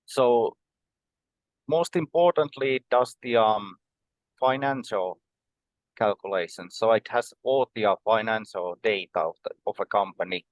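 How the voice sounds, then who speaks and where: A man in his forties from Finland, a podcast guest explaining things in a measured way, with many um and uh pauses. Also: lowish voice, dry recording. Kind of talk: conversational podcast interview.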